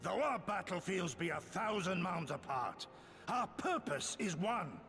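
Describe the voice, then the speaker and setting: A man's voice: high voice, expressive, measured pace, fairly bright tone, in a large hall, faint music behind, exaggerated voice. A man playing an idealistic young orator in a fantasy game, delivering grand, rousing, archaic speech with great fervor. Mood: rousing, fervent. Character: fervent, idealistic young orator rallying people to freedom. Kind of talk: fantasy video game voice acting.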